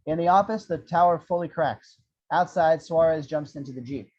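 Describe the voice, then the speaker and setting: A man's voice: on the high side, normal speed, darkish tone. A man narrating action descriptions at a script table read, with irreverent, wry asides. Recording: dull, lo-fi audio.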